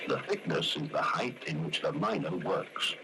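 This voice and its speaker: A man with a low voice narrates a 1970s training film. His delivery is measured and explanatory, with lively rises and falls in intonation. Heavy noise from the old soundtrack sits over the whole recording.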